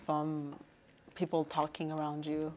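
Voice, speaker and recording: A woman's voice: low-pitched, completely flat delivery, measured pace, a little mellow, slight background noise. A young woman from Canada, a guest artist chatting in an interview in her studio, laughing easily and repeating words as she starts. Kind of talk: casual interview chat. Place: art studio indoors.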